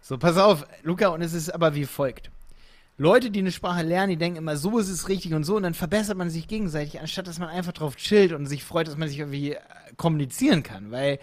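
A young man chatting casually as a podcast co-host, lively and playfully acting out quotes in other voices. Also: a little high, fast. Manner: animated, acts out quotes with funny voices. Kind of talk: casual chat.

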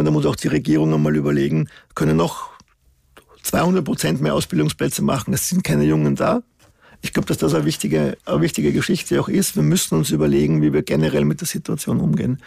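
Austrian man, skeptical panel guest; very gravelly and husky, close dry recording. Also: fairly high pitch, a little mellow, no room sound. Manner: skeptical, argues with figures. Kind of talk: podcast discussion.

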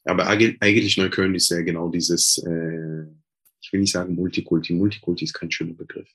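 A man's voice: deep voice, steady tone, fairly quick, fairly bright tone, breathy edge. A man from Israel, a Hebrew speaker, talking hesitantly as an interview guest with many filler sounds and restarts. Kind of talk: casual interview talk.

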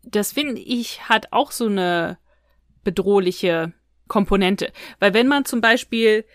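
Woman podcast host just chatting n giving opinions, medium pitch, really dry recording. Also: slow pace, dry recording. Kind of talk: casual review chat about audio dramas.

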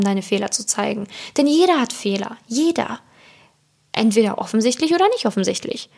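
Woman, non-native German speaker, slightly high pitch, strong vocal fry, informal self-love coaching podcast, direct and chatty. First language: not German. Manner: chatty, direct, addresses listener personally. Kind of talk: informal motivational podcast monologue.

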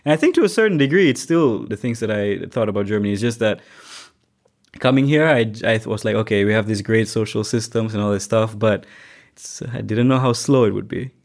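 Soft-spoken young man from Malaysia. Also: lowish voice, fairly quick. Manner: reflective, thinks aloud with restarts. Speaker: international student. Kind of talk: casual interview chat.

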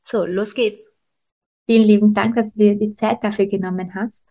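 A woman's voice: mid-range voice, steady tone, moderate pace, dark tone. A woman in her thirties speaking as a podcast host, introducing and interviewing. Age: 30s.

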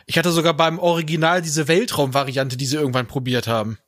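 A man's voice: a little high, level tone, fast, a bit bright, dry recording, clean studio sound. A man in a casual podcast chat, talking in a nostalgic, joking way.

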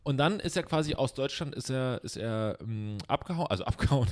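A man's voice: mid-range voice, expressive, brisk. Podcast co-host, young man, loose and teasing, plenty of ums. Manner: rambling, joking, many fillers. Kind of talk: casual chat.

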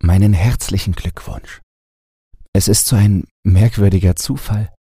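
A man voicing a polite, fussing governess with an excitable manner in a storybook reading. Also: lowish voice, unhurried, husky, no room sound, clean studio sound, voice pushed up. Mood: apologetic. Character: polite, fussing young governess, attentive to child.

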